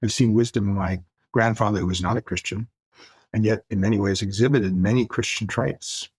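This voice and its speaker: A man's voice: fairly low pitch, steady tone, a little fast, husky, no echo. An older man from the Far East, first language Mandarin, talking reflectively as a guest author in a podcast interview, searching for words with light laughs.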